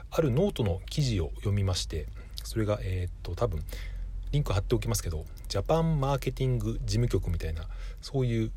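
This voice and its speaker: A man with a fairly low, noticeably husky voice hosts a casual solo podcast. He speaks quickly and thinks aloud, hesitating and correcting himself as he goes. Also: a little mellow. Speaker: podcast host.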